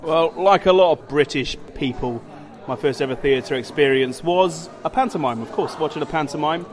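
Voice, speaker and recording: A man's voice: fairly high pitch, normal speed. A man from Wales, co-hosting a podcast with casual, jokey theatre banter. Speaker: podcast co-host, actor.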